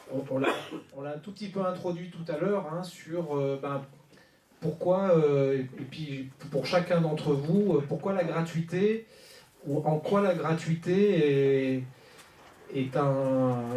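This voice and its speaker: A man's voice: a little high, warm tone. A man organizing a meeting, introducing a guest speaker with many hesitations. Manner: hesitant, many fillers and restarts. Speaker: organizer and moderator. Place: conference room. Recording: roomy hall, far from mic.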